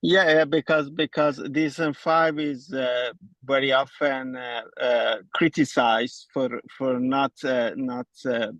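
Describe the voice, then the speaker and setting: Halting man from Iran on a dry line. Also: medium pitch, fairly even intonation, a bit bright, no echo. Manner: halting, many restarts. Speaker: audience member. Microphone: phone while walking.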